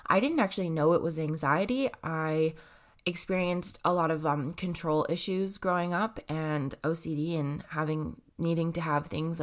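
A woman in her twenties with a stuffy, congested nose, talking casually and rambling with lots of fillers and self-corrections. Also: deep voice. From Canada. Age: 20s. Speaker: vlogger. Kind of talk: casual personal talk to camera.

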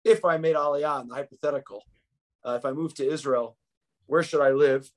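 A man's voice: fairly high pitch, fairly even intonation, fairly quick. A man in his fifties from New York, with a New York accent, a podcast guest telling his life story in a reflective, conversational way with frequent restarts. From United States.

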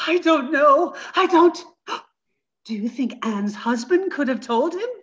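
Anxious two-timing wife, radio comedy. High, very animated, theatrical urgency. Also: female voice, a little slow, lo-fi sound. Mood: worried. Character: anxious, scheming adulterous wife in farce. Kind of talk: comedic radio play acting.

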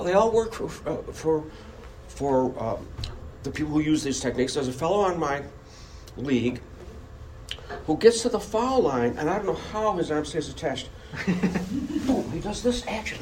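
An older American man giving an emphatic public speech with personal anecdotes and dramatic pauses. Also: a little high, expressive, darkish tone. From Buffalo, United States. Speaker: club speaker. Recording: noisy live room recording.

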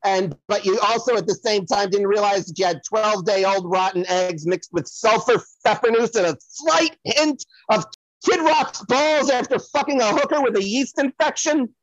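A woman's voice: mid-range voice, moderate pace, no room sound, natural voice. Woman acting as celebrity psychic medium, brash, foul-mouthed, dramatic, digressive, comedy audio play, dry lo-fi sound. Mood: earnest, indignant. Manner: theatrical, digressive, addressing the host by name. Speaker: guest playing a comic psychic.

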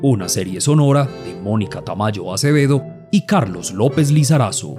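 A man's voice: on the low side, expressive, normal speed. A man reading scripted credits as a radio serial announcer. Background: music.

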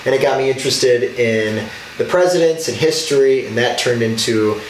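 A man's voice: medium pitch, normal speed, slight background noise. Young guy from wisconsin, local politician, earnest storyteller, sounds kinda far off in a roomy space. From Racine, Wisconsin. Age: young adult. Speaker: alderman guest. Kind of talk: interview storytelling.

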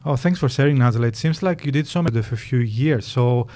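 A man's voice: medium pitch, fairly quick, dark tone. A man hosting a podcast, reading a reflective scripted intro and then chatting with his guest with a few hesitations. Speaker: podcast host. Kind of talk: scripted intro narration, then conversational interview. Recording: music playing under the voice. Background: guitar music.

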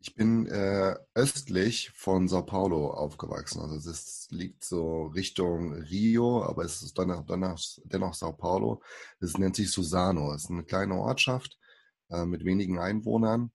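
Low gravelly-voiced man in his forties. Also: normal speed, darkish tone. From Brazil. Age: 40s. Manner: hesitant, many fillers and restarts. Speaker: podcast guest. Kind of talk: casual interview talk.

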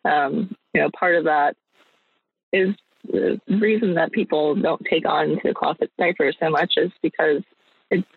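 A woman's voice: deep voice, unhurried, creaky, breathy edge, dry recording. A young adult woman chatting casually as an interview guest, thinking aloud in a hesitant way. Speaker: guest, small business owner. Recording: thin phone-like line.

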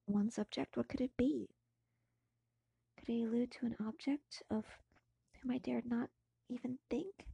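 A woman reading aloud and chatting as an audiobook podcaster, with an expressive manner. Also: fairly high pitch, a little slow, gravelly, pauses often, breathy edge, no echo. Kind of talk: literary narration and casual chatty asides.

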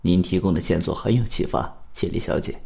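A man playing a calm, shrewd detective in a radio drama, speaking formally in a measured, deliberate way. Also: deep voice, vocal fry. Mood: measured, probing. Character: calm, shrewd male detective, probing and cautioning.

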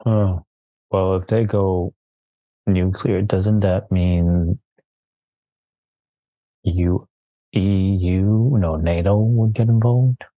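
A man's voice: sits low, steady tone, very slow, pauses often, no echo, dull audio. A man co-hosting a podcast in casual chat, asking questions with brief interjections. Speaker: podcast co-host.

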